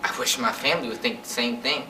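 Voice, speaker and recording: A woman's voice: a bass voice, steady tone, quick pace, pushed and bright, slight background noise, heavily acted. A woman playing a despairing, discouraged youngster in a stage play, dramatic and despondent. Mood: bitter, dejected. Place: theater stage. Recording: echoey stage, picked up from far off.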